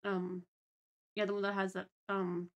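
A woman's voice: medium pitch, little pitch movement, measured pace, muffled recording. A woman co-hosting a livestream in casual, conversational chat. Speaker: livestream co-host.

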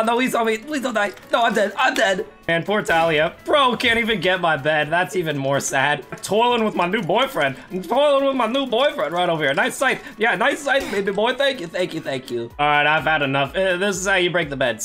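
A young man streaming a game, excited and laughing, hoarse from losing his voice, his voice cracking high. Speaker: gaming streamer. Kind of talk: excited gameplay commentary. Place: home gaming setup, indoors.

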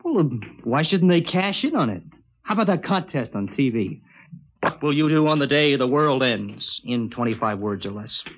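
An actor playing an excitable, joking teenage boy in a 1950s radio drama, from a dull old recording. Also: medium pitch, moderate pace. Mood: chatty. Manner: eager, bouncy, teasing.

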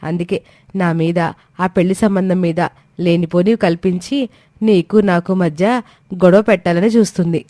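A woman's voice: fairly low pitch, fairly even intonation, moderate pace, pauses often, mellow, dry recording, close to an everyday voice. A woman voicing a resentful visiting aunt in a dramatised moral story, complaining and insinuating. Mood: offended, insinuating.

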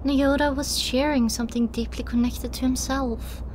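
A woman reading a first-person story as an audiobook narrator, with measured, dramatic delivery. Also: high voice, steady tone, darkish tone, a little hiss or hum. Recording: music playing behind the voice. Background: music.

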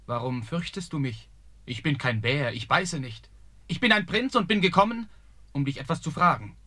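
A gentle young prince. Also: male voice, fairly high pitch, expressive, moderate pace, no echo. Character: young prince, brave, clever, gentle suitor. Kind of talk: radio play acting.

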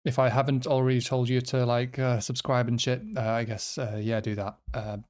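A man from the Nordic region, a native speaker of Swedish or Finnish, talking casually to viewers as a quiz host, halting and self-deprecating as he thinks aloud. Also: mid-range voice, level tone, a little mellow. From Finland or nearby Nordic region.

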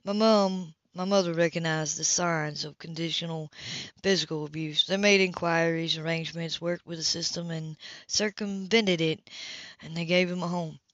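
A tough, streetwise man played by a woman reader speaks low in an audiobook, cynical and matter-of-fact about a hard life. The sound is dry and slightly dull. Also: fairly even intonation, normal speed, no room sound, natural voice. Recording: dull lo-fi home recording.

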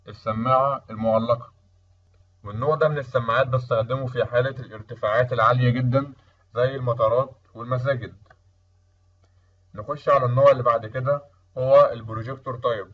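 A man with an Egyptian Arabic accent explaining material step by step in a colloquial, didactic lecture style. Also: mid-range voice. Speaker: instructor. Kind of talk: explanatory lecture over slides. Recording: distant microphone, dull lo-fi sound.

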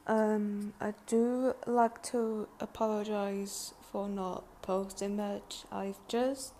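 A woman hosting a reaction video, talking casually in a hesitant, halting way. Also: mid-range voice, mellow, a little hiss or hum.

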